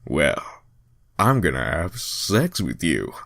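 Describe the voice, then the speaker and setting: A man voicing an easygoing, confident young cartoon hedgehog hero in a casual, deliberate manner. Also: sits low, wildly swooping pitch, creaky, a bit bright, no room sound, studio quality, exaggerated voice. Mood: suggestive, confident.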